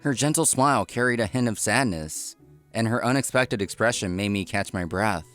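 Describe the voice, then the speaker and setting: A man's voice: lowish voice, normal speed, a bit bright, close to an everyday voice. A man playing an earnest, work-obsessed young restaurant owner who narrates his own manga story and gets flustered easily. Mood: earnest, reflective. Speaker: narrator and lead character. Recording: music behind the voice.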